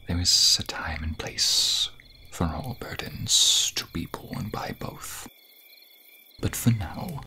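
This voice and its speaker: A man voicing a gentle, grateful snake-man guardian in an ASMR roleplay, speaking in a breathy whisper with formal, archaic phrasing. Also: sits low, exaggerated voice. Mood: earnest, encouraging.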